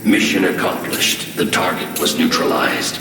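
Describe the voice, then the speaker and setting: Deep, echoing robotic combat machine. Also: male voice, unhurried, background noise. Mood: cold, obedient. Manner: flat, literal, obedient. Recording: echoing, distant, under loud music.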